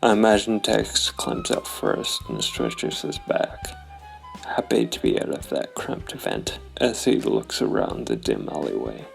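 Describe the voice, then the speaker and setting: A man narrating a solo tabletop game and thinking aloud in a thoughtful, gravelly voice. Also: lowish voice, huge pitch swings, a little slow, soft background music, poor audio. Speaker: solo role-playing game player and host.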